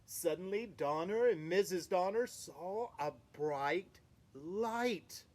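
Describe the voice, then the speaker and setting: A man's voice: sits high, slow, a little mellow. A man reading a children's picture book aloud, playfully talking to his young audience.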